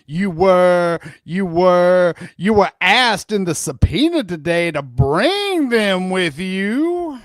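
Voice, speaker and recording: A man's voice: sits high, sing-song, slow pace, few pauses, dry recording, studio quality, full character voice. A man playing a persistent, polite lawyer questioning a witness in a comic deposition reading, methodical and placating, repeating himself as he presses. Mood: probing.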